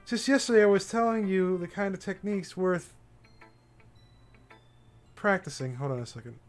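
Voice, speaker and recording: A man's voice: high voice, sing-song, moderate pace. A man livestreaming a visual novel, casually commenting and reading the game text aloud, with game music behind him.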